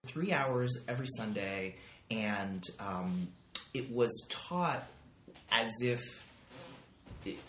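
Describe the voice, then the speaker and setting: A man's voice: mid-range voice, expressive, takes it slowly, long pauses, a bit bright, roomy sound, a little hiss or hum. A man guesting on a podcast, chatting casually with many likes and ums, recorded in a living room. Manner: rambling, lots of likes and ums. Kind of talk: casual chat.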